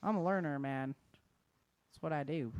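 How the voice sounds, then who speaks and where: A woman's voice: low-pitched, normal speed, a little mellow, no room sound, lo-fi sound. A woman chatting casually as host of a live show, informal and slangy, with exclamations.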